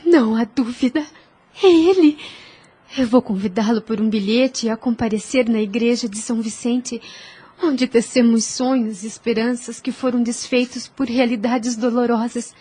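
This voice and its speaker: Actress as gentle, husky young woman in love. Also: fairly high pitch, moderate pace. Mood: anxious. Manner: earnest, formal, sentimental diction. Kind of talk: Spiritist radio drama acting.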